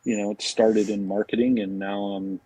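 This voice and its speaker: A man's voice: fairly low pitch, sing-song, moderate pace, gravelly, warm tone, breathy edge, dry recording, poor audio. A man chatting casually as a podcast guest, easygoing and informal. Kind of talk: casual chat.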